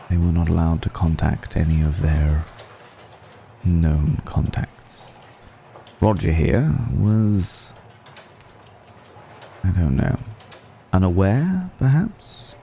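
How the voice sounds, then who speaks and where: A man voicing a suave, menacing vampire noble in an intimate ASMR roleplay, speaking in a genteel, teasing way with drawn-out pauses. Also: rumbling low, gravelly, warm tone, slight background noise, full character voice. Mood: musing, recounting. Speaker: voice actor.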